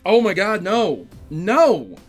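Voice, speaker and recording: A man's voice: high-pitched, huge pitch swings, slow pace, faint music behind. A man hosting a video game news show, giving casual, emphatic commentary with rambling asides and addressing his audience directly. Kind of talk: casual scripted-topic news commentary to camera.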